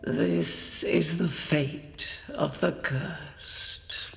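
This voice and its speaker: A woman voicing an eerie old crone who mocks and cackles, speaking in a slow, gravelly drawl. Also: mid-range voice, heavily acted. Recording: long hall reverb with faint music.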